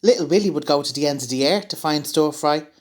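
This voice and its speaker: A woman as a camp, low-voiced charmer. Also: fast talker, a little mellow, natural voice. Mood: polite, camp. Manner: camp, effusive. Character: camp, gushing, gossipy male houseguest, affected charmer. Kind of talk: comedy radio drama acting.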